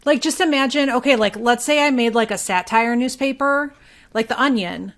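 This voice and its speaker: A woman's voice: fairly high pitch, normal speed, fairly bright tone. A woman guest on a podcast, talking casually and very informally. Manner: informal, filler-heavy talk peppered with "like". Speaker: podcast guest, local journalist.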